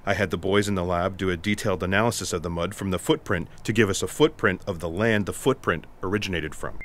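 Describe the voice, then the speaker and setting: Casting: unflappable special agent played by a man, low-pitched, dry, deadpan and wordy, comic sci-fi radio theatre. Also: quick pace, slight background noise, natural voice. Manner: deadpan, matter-of-fact, wordy. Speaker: voice actor.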